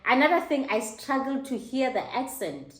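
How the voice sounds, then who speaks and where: A middle-aged woman with a South African accent recounts a personal story in a lively, laughing way and slips into squeaky exclamations. Her voice sits a little high. The room around her is echoey. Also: normal speed, slight background noise. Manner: animated, laughing, high-pitched mock exclamations. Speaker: host. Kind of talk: casual storytelling to camera.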